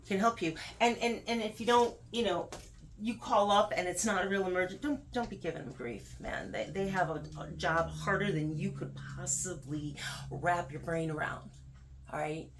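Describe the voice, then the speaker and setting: Low-pitched woman giving casual advice, noisy background. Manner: emphatic, conversational, repeats words for stress. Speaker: video host giving advice. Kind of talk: casual direct-to-viewer advice talk.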